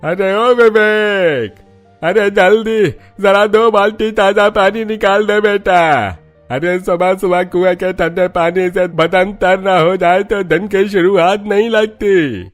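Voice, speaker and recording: Slow, high-voiced bossy old grandfather. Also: steady tone, soft background music. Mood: bossy, hearty. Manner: drawn-out calling, commanding. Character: elderly bossy village grandfather-in-law who loves bathing. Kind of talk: Hindi cartoon story dialogue.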